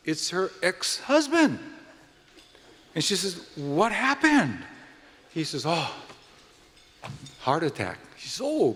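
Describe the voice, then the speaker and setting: A man with a Hawaiian accent addresses guests as the host of an evening event. His voice sits a little high, and his delivery is folksy, with repeated words and small halts. The sound carries the long reverb of a large venue. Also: fairly bright tone. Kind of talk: live welcome speech with jokes and introductions.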